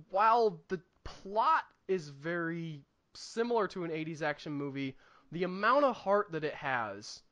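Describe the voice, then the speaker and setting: Guy with a high sharp voice on a movie podcast, emphatic, lots of opinions, chatting casually. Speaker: podcast co-host reviewing films. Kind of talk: casual film discussion.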